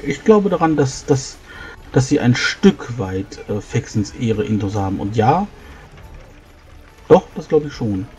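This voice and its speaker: A man improvising in-character talk as a player in a tabletop game, halting and thinking aloud. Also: mid-range voice, big pitch swings, darkish tone, soft background music. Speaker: tabletop role-playing player.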